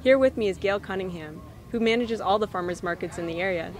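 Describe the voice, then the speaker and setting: A woman's voice: mid-range voice, quick pace. A young woman hosting a TV segment, reading scripted reports with a handheld mic at an outdoor market. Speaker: TV show host.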